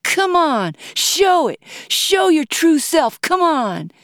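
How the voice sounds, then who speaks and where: An angry female heckler, acted out by a woman storyteller, yelling and confrontational. The voice leaps high and loud, pushing hard at whoever she is facing. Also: sing-song, slow pace, no echo, clean studio sound, full character voice. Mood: goading.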